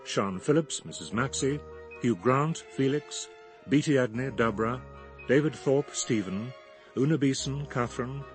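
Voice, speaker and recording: Man, radio announcer, medium pitch, formal scripted credits reading, music behind. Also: unhurried.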